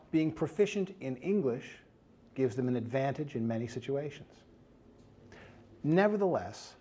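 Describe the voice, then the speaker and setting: A man's voice: medium pitch, a little mellow, breathy edge. A man giving a scripted academic lecture in an explanatory way, putting rhetorical check questions to his listeners.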